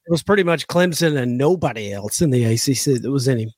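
A man's voice: medium pitch, expressive, quick pace, darkish tone, no echo, studio quality. A man co-hosting a sports betting podcast, laid-back and casually swearing, a bit under the weather. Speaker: podcast co-host.

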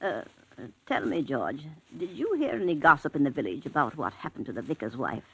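An actress playing a frail, trusting elderly invalid woman in a 1940s radio drama, with a breathy, quavering voice. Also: low voice, sing-song, brisk, no room sound, full character voice, low-quality recording. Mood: chatty. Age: older. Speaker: invalid widow in a cottage.

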